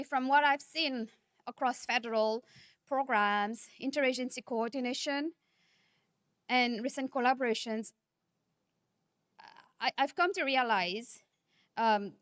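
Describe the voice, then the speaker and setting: A woman from Nepal, giving a conference keynote speech in a measured, earnest manner, often posing rhetorical questions. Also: fairly high pitch, a bit bright, no echo, studio quality. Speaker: keynote speaker.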